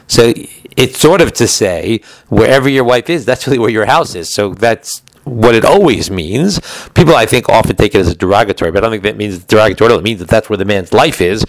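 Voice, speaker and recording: A man's voice: fairly low pitch, lively intonation, quick pace, dry recording, poor audio. A man giving an informal religious law class, explaining texts in a digressive way, often rephrasing and posing questions to himself.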